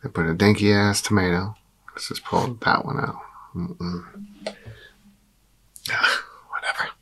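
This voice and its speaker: A man in his forties with a raspy, slightly hoarse voice, chatting casually while eating a meal on camera. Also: on the low side, little pitch movement, slow pace, a bit bright, in a whisper. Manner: chatty, eats and talks with mouth full. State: hoarse from a cold. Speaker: food vlogger. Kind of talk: casual mukbang chat. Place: dark room at a table.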